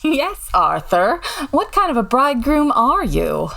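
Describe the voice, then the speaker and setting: A woman's voice: high voice, very animated, measured pace, vocal fry, fairly bright tone, heavily acted. A woman playing a sensible, poised society lady detective, composed and decisive, in old-time radio mystery comedy style. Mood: playful, poised.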